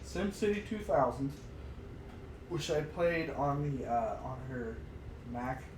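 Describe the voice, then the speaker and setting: A man in his thirties co-hosting a podcast, chatting informally and a bit haltingly. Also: a little high, animated. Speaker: podcast co-host. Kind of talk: casual chat about old games. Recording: distant mic, echoey room, noisy background.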